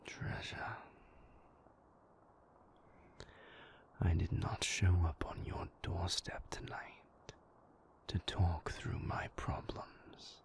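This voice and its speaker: A man voicing a moody, possessive yet tender vampire lover in an intimate roleplay audio, speaking in formal, measured phrases. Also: sits low, level tone, whispered. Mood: intimate, brooding.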